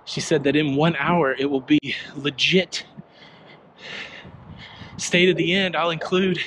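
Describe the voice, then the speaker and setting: A man's voice: fairly high pitch, fairly even intonation, unhurried, a bit bright. A middle-aged man hosting a casual walking vlog, chatting to viewers and joking at his own expense as he walks through an outdoor park. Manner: chatty, self-deprecating, asks viewers questions. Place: outdoor park in rain and wind. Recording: wind and outdoor noise on a body camera.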